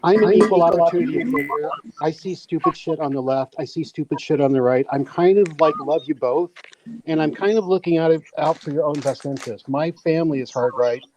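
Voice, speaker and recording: A man's voice: fairly high pitch, a little fast, warm tone. A man talking bluntly and opinionatedly, with some swearing, in a casual group voice chat. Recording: dull lo-fi line with faint noise.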